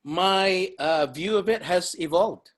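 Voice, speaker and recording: A man's voice: high voice, slow. A man from the Dominican Republic, a podcast guest talking casually, rambling with many fillers and laughing often. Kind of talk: casual chat.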